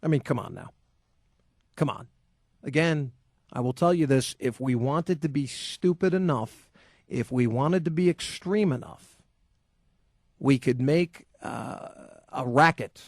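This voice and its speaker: A man's voice: medium pitch, animated, a little slow, long pauses, dry recording, studio quality. Ranting sports radio host, man. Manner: combative, ranting, sarcastic. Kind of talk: heated opinion monologue and call-in.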